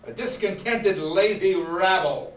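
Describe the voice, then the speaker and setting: An older man playing a cold, scornful old businessman in an amateur radio play, blunt and deliberate. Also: high-pitched. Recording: echoey room, distant mic, audience murmur.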